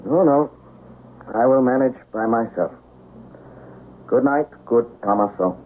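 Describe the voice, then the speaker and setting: An actor playing a melancholy, lovesick young samurai's son in a 1970s radio ghost tale, speaking formally and languidly. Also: male voice, mid-range voice, a little slow, lightly acted. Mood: weary, brooding. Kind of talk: 1970s radio drama acting. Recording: noisy, tinny 1970s radio broadcast.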